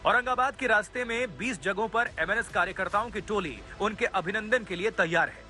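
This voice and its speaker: A man's voice: high-pitched, a little fast, pushed and bright. A man reading scripted television news narration in an emphatic, dramatic manner. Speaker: news reporter narrating a video package. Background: music bed.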